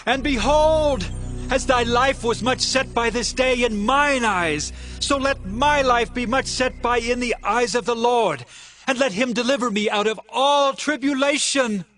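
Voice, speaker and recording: A loyal young warrior in an audio scripture drama. The pitch is high and the delivery is earnest and declamatory. Faint music runs underneath. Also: male voice, a little slow, a bit bright. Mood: solemn appeal.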